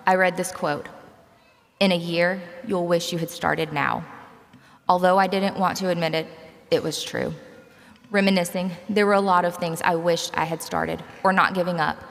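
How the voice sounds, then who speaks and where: A woman, giving a measured, prepared commencement speech and telling personal stories from a podium. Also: fairly low pitch. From South Carolina, United States. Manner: measured, scripted personal storytelling. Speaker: graduate student commencement speaker. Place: large auditorium stage. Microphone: podium gooseneck microphone. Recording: reverberant large hall, podium microphone.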